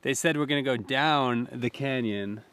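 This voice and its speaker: A man's voice: mid-range voice, moderate pace. A man vlogging his travels, talking straight to viewers in an upbeat way outdoors in a canyon. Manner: upbeat, addresses viewers directly. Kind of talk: travel vlog narration and chat. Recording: loud outdoor noise.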